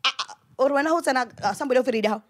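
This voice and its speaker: A woman's voice: high voice, very fast. A woman in her early thirties with a South African accent, chatting casually as a guest in an emphatic, exclamatory way. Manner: emphatic, exclamatory, repeats phrases. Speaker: podcast guest. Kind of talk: casual chat. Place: indoor lounge, on a sofa. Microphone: handheld microphone.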